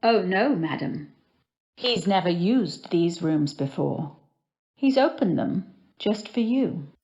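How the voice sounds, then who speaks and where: A woman's voice: fairly low pitch, expressive, slow, darkish tone, lo-fi sound. A woman playing a coldly deferential housekeeper of a grand manor, clipped and restrained, in an old-time radio drama. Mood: formal, deferential.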